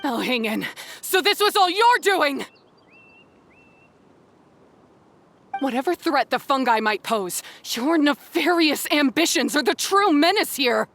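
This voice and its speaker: Intense, high-voiced young woman. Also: expressive, normal speed, few pauses, a bit bright, slight background noise. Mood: outraged. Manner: intense, emotional. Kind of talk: English game dub voice acting.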